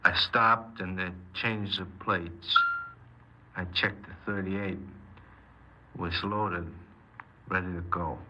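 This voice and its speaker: A man's voice: sits low, fairly even intonation, takes it slowly, long pauses, dull audio. An actor playing a cold, boastful, menacing criminal confessing his robberies in a 1950s radio crime drama. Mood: smug, recounting. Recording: noisy 1950s radio recording.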